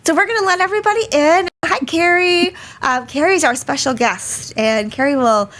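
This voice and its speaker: A woman hosting a live webinar, a little hoarse from a cold, who talks in a chatty way and greets many attendees by name. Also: sits high, normal speed, fairly bright tone. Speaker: webinar host. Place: small studio. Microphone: studio mic.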